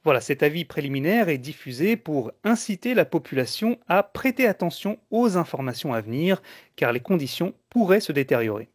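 A man co-hosting a radio information magazine, explaining things in a measured, presenter-like way. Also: a little high, big pitch swings, no echo, clean studio sound. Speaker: radio co-host.

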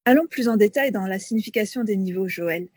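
A woman's voice: medium pitch, fairly quick, darkish tone, husky, dry recording, clean studio sound. A woman co-hosting a radio magazine, presenting information and putting questions as an interviewer. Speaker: radio co-host.

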